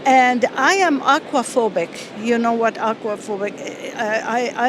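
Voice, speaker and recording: Slow, earnest woman from Lebanon, street noise behind. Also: a little high. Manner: earnest, halting storytelling with many fillers. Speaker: interview guest. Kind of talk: personal testimony.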